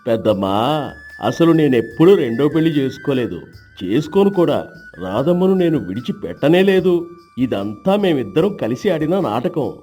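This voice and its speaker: A man plays an emphatic village husband from a moral tale. His voice is husky and dark, swinging widely as he lectures, with faint music behind. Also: on the high side, sing-song, moderate pace. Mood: admonishing. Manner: emphatic, admonishing. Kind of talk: acted moral story dialogue.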